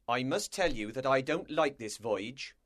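A man's voice: medium pitch, animated, measured pace, a bit bright, voice pushed up. A man voicing a stern, blunt, distrustful sea captain in a storybook, with a curt, commanding manner. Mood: grim, displeased. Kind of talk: storybook character dialogue.

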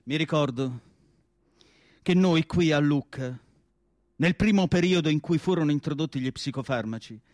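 An actor playing an earnest, melancholy asylum doctor in a theatrical radio monologue, speaking in deliberate, emphatic phrases with weighty pauses. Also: male voice, a little high, darkish tone, no echo, clean studio sound, lightly acted. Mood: nostalgic, proud. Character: earnest, melancholy male asylum doctor recalling his life's work.

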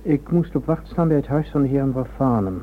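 A man's voice: medium pitch, quick pace, close to an everyday voice. An actor in a Dutch radio drama playing a dutiful, deferential junior policeman. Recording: noisy, tinny old radio recording with hum.

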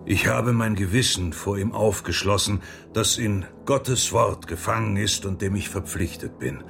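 An actor playing a steadfast, probing monk and scholar in a German radio play. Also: male voice, on the low side, unhurried, gravelly, music in the background. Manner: probing, self-assured, unyielding.

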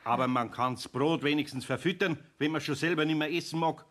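Children's radio play: older male master carpenter, played by an actor, Bavarian, patient but scolding, fairly high-pitched. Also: brisk, a bit bright, natural voice. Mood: admonishing. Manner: grumbling, scolding, homely.

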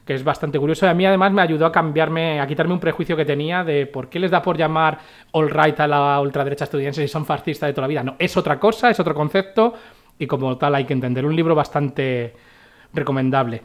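A talkative man with a fairly high voice, co-hosting a radio show. He rambles and restarts as he chats through his book picks. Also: fast. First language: not Catalan. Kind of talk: casual radio chat reviewing books.

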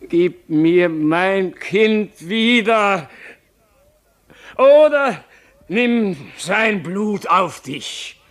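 A man's voice: sits high, lively intonation, very slow, exaggerated voice. An actor playing a tormented, fearful, imperious king in a German radio play, declaiming with anguish. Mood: desperate demanding. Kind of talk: German radio play, theatrical dramatic acting.

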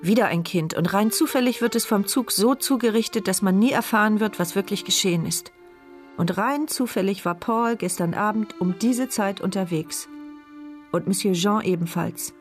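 An actress playing a wry, watchful woman narrating her silent inner thoughts in a measured radio drama style. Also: mid-range voice, lightly acted. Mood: suspicious. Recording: studio radio drama with music behind.

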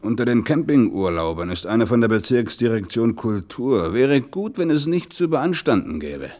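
An actor playing a bossy, pragmatic restaurant boss giving curt instructions in colloquial German radio drama. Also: male voice, medium pitch, sing-song, moderate pace, darkish tone, close to an everyday voice. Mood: gruff, insinuating. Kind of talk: German radio play acting, colloquial monologue.

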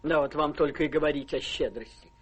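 An actress playing a sour old female servant in a radio play, muttering and grudging in a creaky voice. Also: low-pitched, brisk, rough recording. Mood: grumbling. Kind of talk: theatrical radio play acting.

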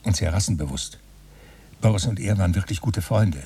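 A pedantic radio-play narrator who reckons and itemizes in a dry way. The voice is deep and mellow, set a little back from the microphone. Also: male voice, brisk, breathy edge, far from the mic, full character voice. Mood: matter-of-fact. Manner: matter-of-fact, enumerating.

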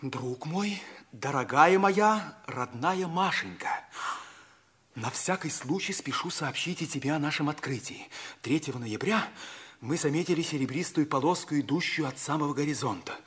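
Earnest, husky-voiced schoolboy in love. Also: male voice, a little high, lively intonation, fairly bright tone. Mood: fervent storytelling. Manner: fervent, dramatic declaiming with pauses. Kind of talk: 1950s theatrical radio drama acting. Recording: vintage 1950s radio play recording.

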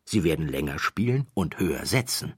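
A man voicing a confident, smooth-talking professional card sharp in an audiobook, persuasive and matter-of-fact. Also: extremely low pitch, sing-song, a little fast, no echo, studio quality, heavily acted. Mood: confident, scheming. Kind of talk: acted audiobook dialogue.

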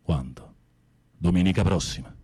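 Husky, low-voiced theatrical prisoner. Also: male voice, a little mellow. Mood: probing, grave. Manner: theatrical, sardonic, with drawn-out musing. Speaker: radio drama actor. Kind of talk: Italian radio theatre acting.

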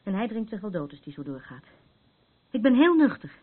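An actress in a radio play, playing a troubled, battered woman who is anxious and rambles haltingly in defence of her abuser. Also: mid-range voice, sing-song, slightly rough. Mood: agitated, confiding. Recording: muffled, phone-like narrow band.

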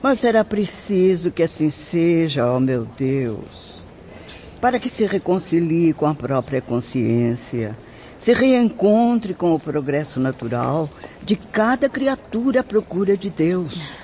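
A woman's voice: deep voice, big pitch swings, takes it slowly, warm tone, full character voice. A woman acting a compassionate, grave spirit nun in a radio drama, with a Brazilian accent and pious delivery. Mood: pious, solemn. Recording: muffled, noisy lo-fi.

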